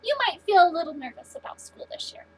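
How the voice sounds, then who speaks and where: A woman teacher reading a story aloud to children, pausing to put questions to her listeners. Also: very high voice, expressive, slight background noise.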